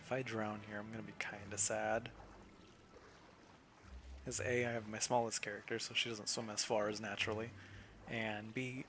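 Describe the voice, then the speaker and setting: Bright-voiced young man commentating gameplay. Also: on the low side, flat delivery, normal speed, long pauses, slight background noise. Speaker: gaming video creator.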